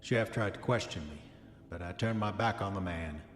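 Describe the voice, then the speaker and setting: An actor playing an arrogant, dissolute diarist of the 1910s, speaking formal old-fashioned entries in a pompous manner for a horror audio drama. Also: male voice, low-pitched, brisk, natural voice. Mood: uneasy. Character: arrogant, dissolute 1910s male diarist, self-satisfied, increasingly haunted. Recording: echoey long reverb, music underneath. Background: music.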